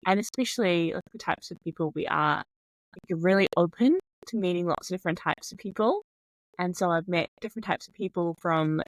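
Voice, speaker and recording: A woman's voice: deep voice, no echo, low-quality recording. Young New Zealand woman, chatty guest. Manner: open and rambling, many fillers like "like". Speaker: podcast guest. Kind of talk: casual conversational chat.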